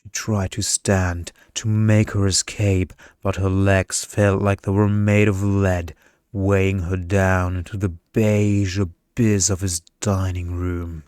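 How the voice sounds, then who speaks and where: A man reads a bedtime ASMR story with deadpan deliberation. His voice is low and very smooth, and he takes his words very slowly, close to the mic. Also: no echo. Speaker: story narrator. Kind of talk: slow ASMR storytelling for sleep.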